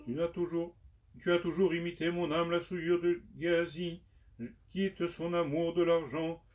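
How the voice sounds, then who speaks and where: A man giving a slow, solemn liturgical recitation of penitential prayers in measured, prayerful phrases. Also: fairly high pitch, flat delivery, faint music behind. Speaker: liturgical reader. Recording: dull sound from far off the mic.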